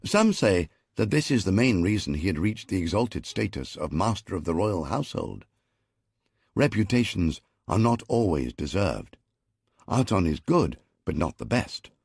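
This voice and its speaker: Gravelly low-voiced male audiobook narrator. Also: big pitch swings, moderate pace, darkish tone, dry recording, clean studio sound. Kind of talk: first-person audiobook narration.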